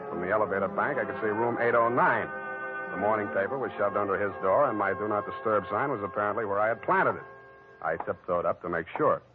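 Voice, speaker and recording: An actor playing a wry, deadpan hard-boiled private detective in a 1940s radio drama, a man who wisecracks as he narrates. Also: lowish voice, lightly acted. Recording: old narrow-band radio recording with loud music.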